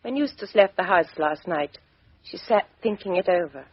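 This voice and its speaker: A woman's voice: fairly low pitch, animated, moderate pace, breathy edge, no room sound. An actress playing a proud, defiant, bitter young English woman in a radio mystery drama, with an English accent and clipped, intense, emotional delivery. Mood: bitter, grieving. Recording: dull, dry lo-fi radio recording.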